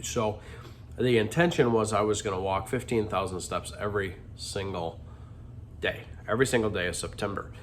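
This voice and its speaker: A man's voice: on the low side, normal speed, slight background noise. A middle-aged man with a stuffy, congested nose, talking candidly in a rambling vlog confession full of ums and restarts. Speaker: vlogger.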